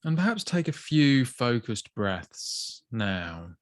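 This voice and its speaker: A man gently guiding a body scan meditation, slow and soothing with long pauses. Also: mid-range voice, big pitch swings, dry recording, studio quality. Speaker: mindfulness teacher.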